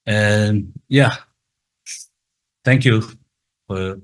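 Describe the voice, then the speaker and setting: A man with a very low voice, speaking slowly and carefully in English. His is Chinese, and he stutters, repeating words and the starts of sounds. He is giving a talk at a conference. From Taiwan. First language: Mandarin Chinese.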